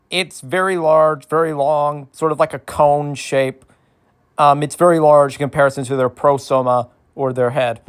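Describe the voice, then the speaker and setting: A host with a mid-range voice gives an educational ASMR talk. The delivery is informal and detailed, explaining things step by step and slipping in playful asides. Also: mid-range voice.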